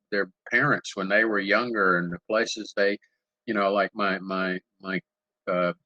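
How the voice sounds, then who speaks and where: An older man answers on a video call panel in a bright, forward voice. He tells stories at an unhurried pace, often restarting and repeating words as he gathers his thoughts. The sound is dry and close. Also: on the low side, dry recording, low-quality recording. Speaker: panel moderator.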